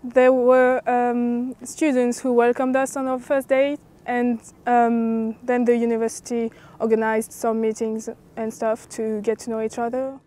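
A woman's voice: fairly high pitch, flat delivery, measured pace, hardly any pauses, warm tone. A young woman from France, a student speaking English as a second language, answering hesitantly with many fillers outdoors. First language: French. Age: young adult. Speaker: student interviewee. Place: outdoor park.

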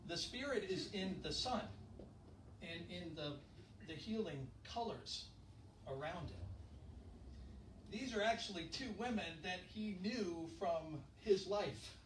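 A middle-aged pastor gives a relaxed, chatty sermon, tossing questions to his congregation. His voice sits a bit high for a man. It was recorded from the back of an echoey church hall, with a steady room hiss behind it. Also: takes it slowly, far from the mic. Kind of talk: conversational sermon. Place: church fellowship hall, indoors. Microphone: handheld mic, filmed from far back.

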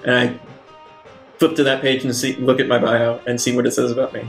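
A man's voice: mid-range voice, little pitch movement, a little fast. Playful man in an echoey room. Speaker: tabletop game player. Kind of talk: casual in-character game chat. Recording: echoey room, music underneath. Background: soft guitar music.

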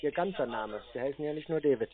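A man's voice: mid-range voice, expressive, fast. A man in a casual phone conversation, teasing and questioning the person on the other end. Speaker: prankster taking scam calls. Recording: narrow-band phone call audio.

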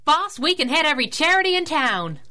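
An actress playing a teasing young superhero sidekick in a 1930s radio drama, with a pert, playful manner. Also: female voice, high-pitched, very animated, fairly quick, bright, forceful tone, heavily acted. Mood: pleased, admiring.

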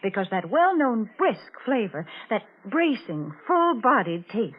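A woman's voice: fairly high pitch, very animated, unhurried, breathy edge, lo-fi sound, full character voice. A woman acting a chirpy, persuasive sponsor's spokeswoman in a polished 1940s radio commercial style, on an old recording. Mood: eager, persuasive. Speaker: advertising spokeswoman.

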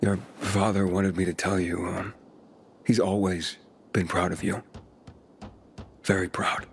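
An actor playing a young male survivor hero in a video game, earnest and gruff with a gravelly voice. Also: low voice, flat delivery, a little slow, a little hiss or hum. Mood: somber, gentle.

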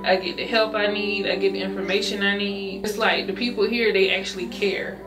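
A woman's voice: mid-range voice, fairly even intonation, a little fast. A young woman giving an earnest personal testimonial as a patient, repeating words for emphasis. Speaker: clinic patient. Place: small wood-paneled room indoors. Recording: roomy, distant mic, music underneath. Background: background music.

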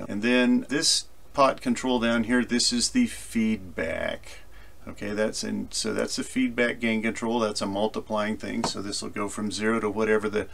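A man presenting an informal software screencast tutorial, explaining conversationally as if thinking aloud. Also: fairly low pitch, vocal fry, a little hiss or hum.

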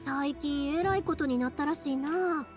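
A woman's voice: high voice, normal speed, faint music behind, lightly acted. A woman playing a cheerful, caring young woman in an anime game, chatty and friendly, with a Kansai accent. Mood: curious, chatty. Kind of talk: anime game character dialogue.

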